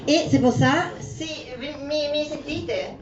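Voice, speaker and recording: A woman from Italy doing a stand-up set in French with an Italian accent, animated and teasing the crowd, mixing in Italian words. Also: sits high, slow pace. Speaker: stand-up comedian. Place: comedy club. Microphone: handheld stage mic. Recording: roomy, distant, dull club recording with audience.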